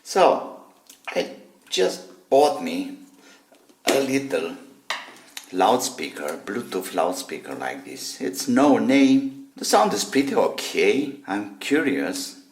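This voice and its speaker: Man, deep pitch, unhurried pace, casual hands-on commentary, halting short phrases, video host. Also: very animated, a little hiss or hum. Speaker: host of a gadget teardown video.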